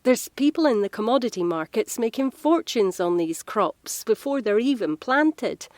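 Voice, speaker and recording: A woman's voice: on the high side, lively intonation, normal speed, a little mellow, no echo, lightly acted. An actress playing a frank, caring working woman, explaining things earnestly in a naturalistic audio drama. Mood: grave, emphatic.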